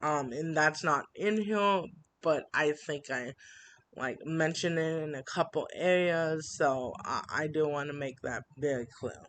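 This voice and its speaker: A woman vlogging an upset complaint, halting with many fillers and restarts, reading from written notes. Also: deep voice, fairly bright tone, a little hiss or hum. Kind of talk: personal complaint vlog.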